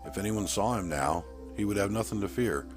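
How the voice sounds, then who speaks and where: A man's voice: lowish voice, fairly quick. A man reading a short story aloud as a scripted audiobook narrator. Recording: music bed under voice. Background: background music.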